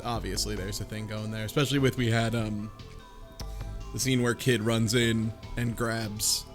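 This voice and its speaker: A man's voice: lowish voice, fairly even intonation, normal speed. A man talking casually as a panelist on a podcast about a game. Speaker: book club podcast panelist. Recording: music playing behind the talk. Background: music.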